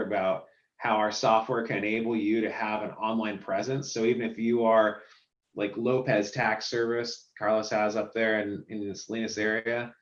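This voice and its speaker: A man who runs a software company, explaining filing statistics in a casual video call chat. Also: lowish voice, reverberant, muffled recording. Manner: explanatory, walks through statistics.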